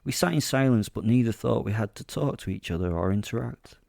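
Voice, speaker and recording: A man giving brooding, measured first-person narration as a predatory killer in a horror audio drama. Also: on the low side, lively intonation, creaky, darkish tone, no echo, studio quality.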